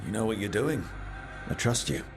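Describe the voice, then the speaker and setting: Steady, low-voiced team leader, music behind. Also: male voice, moderate pace, gravelly. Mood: reassuring. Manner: reassuring, encouraging. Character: supportive, steady male leader of an adventuring team. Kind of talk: video game cutscene acting.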